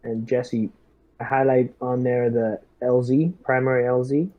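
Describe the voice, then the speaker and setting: A man playing a tabletop roleplaying game, talking through tactics casually in a measured, halting way. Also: medium pitch, fairly even intonation. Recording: dull lo-fi voice-chat audio.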